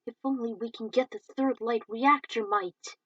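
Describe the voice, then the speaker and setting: A woman's voice: a little high, moderate pace, fairly bright tone, husky, no room sound, highs cut off. A woman voicing a worried young male duelist who speaks urgently, recorded dry and a little far from the mic.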